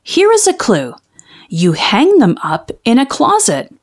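A woman's voice: a little high, huge pitch swings, unhurried, heavily acted. A woman voicing a playful little girl in a children's storybook, with an eager, singsong delivery. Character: bright, playful little girl playing a guessing game.

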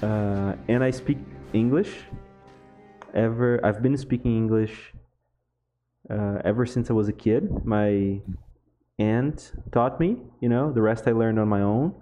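Mellow young Brazilian man chatting. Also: lowish voice, unhurried, faint music behind. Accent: Brazilian Portuguese. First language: Portuguese. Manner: relaxed, chatty, laughs often, many fillers. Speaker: gaming YouTuber. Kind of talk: casual chat over gameplay.

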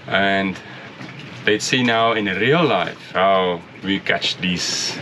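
A man's voice: low-pitched, drawn-out words, background noise. A middle-aged man on a catamaran deck by the sea, explaining in a plain, casual way how to sail in and catch a mooring ball. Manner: plain explaining, restarts phrases, chuckles now and then. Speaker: sailing vlogger. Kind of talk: casual instructional talk. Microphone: headset mic at first, then a camera mic up close.

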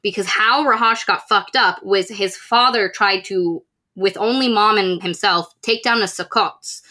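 A young adult woman co-hosting a podcast, giving a casual, expressive recap of a book and slipping into mock dialogue for the characters. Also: mid-range voice, unhurried. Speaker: podcast co-host. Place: small room at home with bookshelves.

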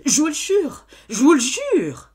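A woman voicing a frightened young farm servant girl who pleads and denies in a high, tearful voice. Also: very animated, normal speed, darkish tone, slightly rough. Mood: desperate.

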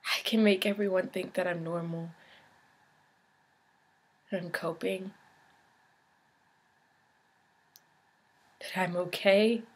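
A woman performing a dramatic monologue as a despairing young woman, speaking in a halting, emotional confession. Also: a little high, steady tone. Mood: hopeless, resigned. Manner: halting, emotional, swinging from hushed to anguished. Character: despairing suicidal young woman baring her pain in confession.

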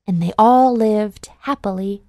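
A woman narrating a children's storybook in a measured, clearly enunciated read-along delivery. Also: mid-range voice, darkish tone, no room sound, clean studio sound.

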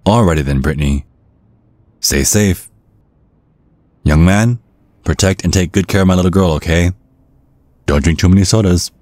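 A man voicing a courtly, protective old vampire father in an ASMR roleplay, speaking in a measured, formal, politely threatening way. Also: sits low, a little mellow, lightly acted.

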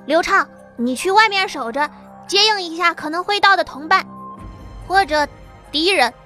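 A woman voicing a boy who builds weapons, speaking briskly and matter-of-factly. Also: very high-pitched, lively intonation, sharp tone, soft background music, voice pushed up, exaggerated voice. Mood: businesslike. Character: boy, clever young weapon maker.

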